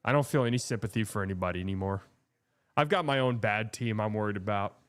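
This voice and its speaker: A man's voice: lowish voice, fast, fairly bright tone, clear, resonant tone. A man hosting a live watch-party stream, chatting casually and bluntly about the game and answering his chat.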